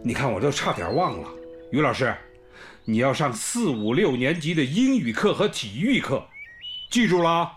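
A man's voice: medium pitch, big pitch swings, moderate pace, close to an everyday voice. A man acting as a kindly, courteous village primary school principal in a Chinese radio drama. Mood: earnest, gentle. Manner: courteous, welcoming. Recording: music bed behind the voice.

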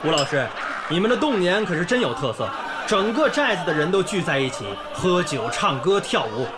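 An actor playing a young volunteer teacher in a village who speaks warmly and encouragingly. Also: male voice, high-pitched, very animated, a little fast. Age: young adult. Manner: warm, encouraging tone. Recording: background music under the scene.

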